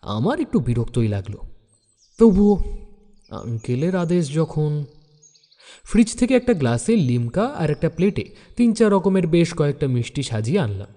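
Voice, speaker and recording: A man narrating a detective audio story in the first person as an earnest university student, expressive and dramatic. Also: on the high side, moderate pace, pauses often, long reverb tail. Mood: mildly irked.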